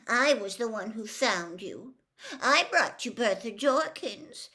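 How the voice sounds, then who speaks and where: A woman's voice: on the high side, animated, slow, pushed and bright, full character voice. A woman voicing a fearful, sulky, servile male henchman in a storybook, panicky and stammering. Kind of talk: storybook character read aloud.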